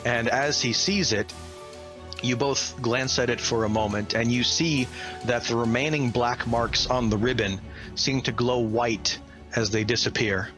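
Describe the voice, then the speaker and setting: A man's voice: medium pitch, steady tone, unhurried, fairly bright tone. Theatrical male game master over music. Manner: enthusiastic, theatrical. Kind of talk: dramatic tabletop game narration.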